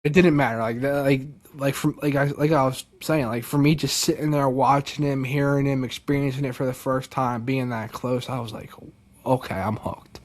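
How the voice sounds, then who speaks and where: A young man from Delaware, a podcast guest, telling a story casually and excitedly, with lots of "like" and "dude". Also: medium pitch, moderate pace. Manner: animated, many fillers like "like" and "dude".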